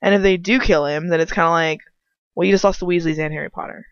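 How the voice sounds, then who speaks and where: A woman's voice: on the low side, fairly even intonation, fast talker, clear, resonant tone. A woman talking casually in a rambling, opinionated, slangy way, with some swearing, as she comments on a story she is reading aloud. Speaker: amateur fan fiction reader. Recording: roomy, slightly dull home recording.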